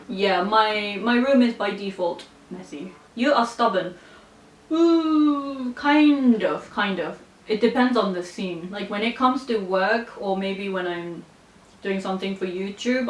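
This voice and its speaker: A young adult woman from Japan, a native Japanese speaker, chatting casually to camera as a vlogger with a playful, self-deprecating manner. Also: mid-range voice. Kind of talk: casual chat to camera, answering viewer comments. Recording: roomy, distant mic, faint background noise.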